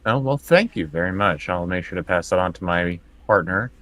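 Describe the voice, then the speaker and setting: A man with a low-pitched voice takes part in a tabletop role-playing game as a player. He speaks casually, improvising as he goes, and the recording is close and dry. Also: lively intonation, fairly quick, fairly bright tone, no room sound. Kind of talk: casual in-character improvised play.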